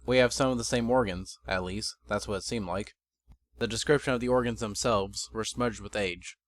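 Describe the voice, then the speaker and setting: A man reading a first-person fantasy story as narrator, in a measured, bookish dramatic reading. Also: fairly low pitch, fairly even intonation.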